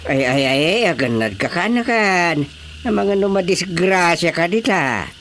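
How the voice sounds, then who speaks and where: A woman's voice: fairly low pitch, expressive, measured pace, a bit bright. A woman acting an easygoing but fretful relative in an Ilocano radio drama comedy. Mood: fretting. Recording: faint background noise.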